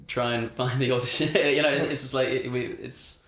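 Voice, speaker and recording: A man's voice: mid-range voice, steady tone, brisk, fairly bright tone. A man hosting a casual group chat, encouraging and backing up the guests with lots of mm and yeah. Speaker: host. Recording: roomy echo.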